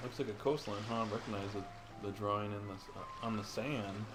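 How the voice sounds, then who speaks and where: A man livestreaming a game, reading the dialogue aloud in a halting, dramatic way. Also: fairly low pitch. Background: game music.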